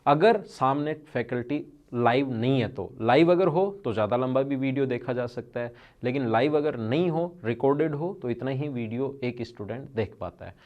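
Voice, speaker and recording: A man's voice: medium pitch, normal speed, highs cut off. A male maths teacher with a strained throat, speaking earnestly and directly to his students in an online video lesson.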